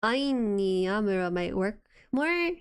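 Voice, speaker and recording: A woman with a soft voice chatting casually and reacting excitably as she hosts an anime watchalong stream. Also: mid-range voice, takes it slowly, smooth.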